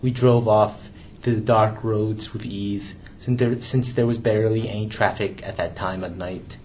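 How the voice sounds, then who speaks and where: Young man, memoir reading, somewhat low voice, measured, faint background hum. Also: fairly even intonation, a little mellow. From Canada. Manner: measured, restrained reading with occasional small stumbles and repeats. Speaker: author reading his memoir. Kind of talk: literary reading aloud.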